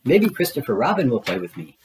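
A gentle little bear from a children's storybook, voiced by a man. He muses in a simple, childlike, earnest way, his pitch moving expressively. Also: big pitch swings, brisk, a little hiss or hum, natural voice, rough recording. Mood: wistful.

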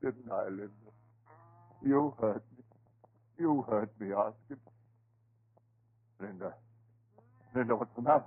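Anguished, halting man, old radio horror. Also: lowish voice, husky. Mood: wounded, tense. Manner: halting, broken, melodramatic. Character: anguished, desperate man, blinded and pleading. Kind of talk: 1930s radio horror acting. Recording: tinny, muffled old 1930s radio recording.

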